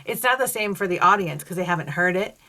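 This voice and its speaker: A woman's voice: lowish voice, animated, quick pace, sharp tone. A woman talking casually as a cast member in a group discussion.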